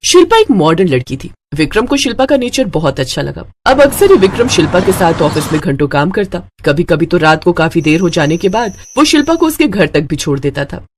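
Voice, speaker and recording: A woman's voice: on the low side, very animated, fairly quick, few pauses, darkish tone, slight background noise. A woman reading scripted narration for a family moral story as the narrator.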